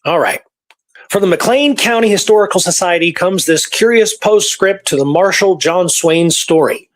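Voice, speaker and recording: Higher-voiced man chatting about history. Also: normal speed. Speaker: co-host and researcher. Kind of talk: casual history discussion with read-out archive passages.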